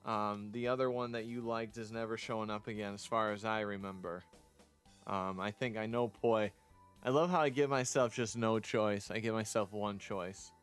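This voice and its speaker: A man's voice: lowish voice, fairly even intonation, moderate pace, clear, resonant tone, soft background music. A man casually reading a visual novel aloud as he streams it, rambling off into chatty asides. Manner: rambling, chatty asides between read lines. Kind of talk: casual game playthrough commentary with read-aloud dialogue.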